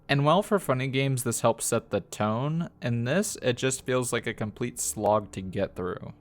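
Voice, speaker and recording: A man delivering a scripted video review as a narrator, with a blunt, critical way of talking. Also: lowish voice. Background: background music.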